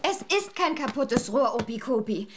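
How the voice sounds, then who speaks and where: A woman's voice: on the high side, animated, normal speed, noisy background, natural voice. A woman playing an earnest, conscientious young girl in a children's audio drama. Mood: guilty, dejected.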